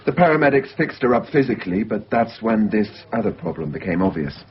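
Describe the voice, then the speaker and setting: A man playing a measured, understated mental-health worker briefing a colleague in a naturalistic radio drama. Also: lowish voice, sing-song, a little hiss or hum. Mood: grave, matter-of-fact. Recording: dull lo-fi radio recording.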